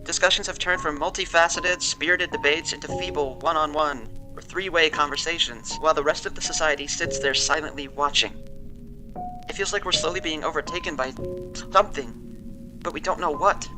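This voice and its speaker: An actor playing a shaken, wary man who recounts a frightening experience in an audio drama, telling it with dramatic pauses. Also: fairly high pitch, over music, close to an everyday voice. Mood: uneasy, haunted. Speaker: interviewee. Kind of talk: audio drama monologue, interview testimony. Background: musical score.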